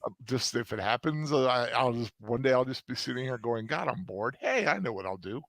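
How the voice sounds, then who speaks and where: A man's voice: medium pitch, lively intonation, brisk, creaky, fairly bright tone, no echo. A man co-hosting a casual live stream chat, speaking hesitantly with many fillers. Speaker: co-host.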